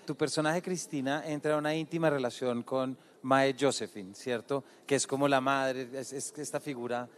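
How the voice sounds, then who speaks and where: A man's voice: mid-range voice, fairly even intonation, moderate pace, hardly any pauses. A man moderating a literary panel, keeping an eye on the time as he draws the authors into conversation. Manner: prompting and time-conscious.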